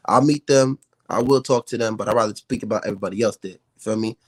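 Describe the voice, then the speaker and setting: A man's voice: lowish voice, fast, breathy edge, no echo, clean studio sound. An American man, a rapper, talking casually as a podcast guest with slangy speech and frequent check-in tags. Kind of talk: casual interview chat.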